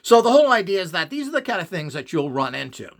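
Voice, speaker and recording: Male instructor with a slightly high, highly animated voice; dismissive, ranting delivery. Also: fast talker. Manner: scornful, ranting, full of rhetorical questions. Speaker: radionics instructor. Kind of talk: opinionated instructional monologue.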